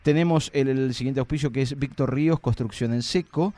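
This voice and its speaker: A man's voice: medium pitch, fairly quick, dark tone. A man with an Argentine accent hosting a live radio show, with a playful, joking way of talking. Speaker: radio host.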